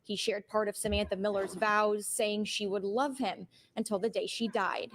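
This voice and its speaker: A woman's voice: mid-range voice, steady tone, moderate pace, a bit bright. A woman narrating a scripted television news report as a reporter.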